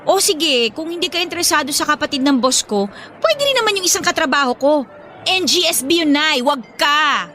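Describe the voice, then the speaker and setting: A woman's voice: high-pitched, sing-song, normal speed, bright, forceful tone, soft background music, exaggerated voice. A woman with a Filipino accent playing a pushy, teasing young adult daughter in a radio drama. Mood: eager, teasing.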